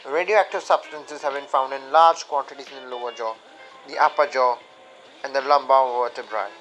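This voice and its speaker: A man's voice: mid-range voice, steady tone, normal speed, fairly bright tone, soft background music, close to an everyday voice, poor audio. A teenage boy playing a formal, clinical 1920s medical examiner making an announcement in a radio drama. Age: teens, high school student.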